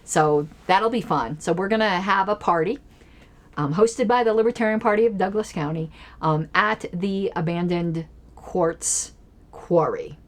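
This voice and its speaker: A woman's voice: on the low side, measured pace. A woman streaming a casual political commentary show from her basement, talking in a rambling, chatty way with lots of fillers and restarts. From Colorado. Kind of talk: casual solo livestream chat. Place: home basement.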